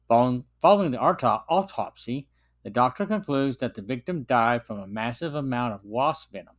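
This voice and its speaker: A man's voice: mid-range voice, lively intonation, fairly quick. Middle-aged man reading a scripted podcast. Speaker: podcast host and film reviewer. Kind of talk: scripted podcast narration and review.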